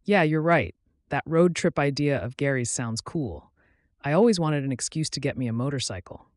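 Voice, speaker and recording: A man's voice: fairly high pitch, normal speed, no echo, clean studio sound, natural voice. Man reading Peter, an apologetic friend.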